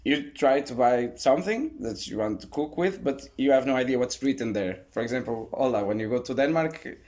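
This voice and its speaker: A man's voice: medium pitch, animated, a little fast, a little mellow. Guy hosting a chatty podcast, friendly, a bit hesitant. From Portugal. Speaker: podcast host. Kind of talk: casual chat.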